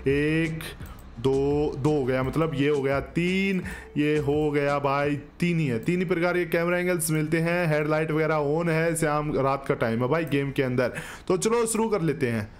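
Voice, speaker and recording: A man's voice: on the high side, normal speed. A man, giving casual, chatty gameplay commentary in a joking way, close on a desk microphone. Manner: chatty, joking, addresses viewers as bro. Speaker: gaming video host. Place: small indoor studio setup. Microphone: desk microphone up close, with headset.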